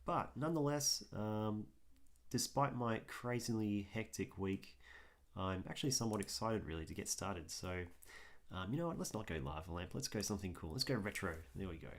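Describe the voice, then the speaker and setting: A hoarse man, chatting casually and thinking aloud while he draws on a livestream, with lots of ums. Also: lowish voice, moderate pace. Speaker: artist streaming his drawing.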